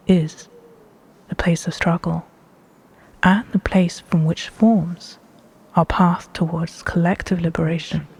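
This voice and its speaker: Breathy woman doing guided meditation, soft husky voice, measured phrases w pauses. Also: on the low side. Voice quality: breathy, hushed. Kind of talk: slow guided meditation narration.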